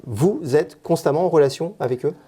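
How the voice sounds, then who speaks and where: A man's voice: a little high, animated, a little fast, mellow, husky, no echo. A man acting as a television interviewer, putting questions to people on location.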